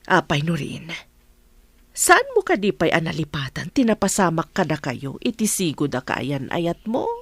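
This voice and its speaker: A woman's voice: low voice, very animated, normal speed, fairly bright tone, husky, no room sound, heavily acted. A woman playing a caring, coaxing middle-aged mother in an audio drama. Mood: concerned. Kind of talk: Ilocano audio drama acting.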